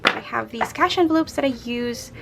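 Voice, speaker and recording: A young woman with a hoarse, raspy voice and a bit of a gravelly edge, talking in a loose, rambling way as she counts bills aloud. Her voice sits a little on the high side, with faint room hiss behind her. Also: animated, brisk. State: hoarse from a late night. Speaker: budgeting vlogger. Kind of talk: casual chat while stuffing cash envelopes.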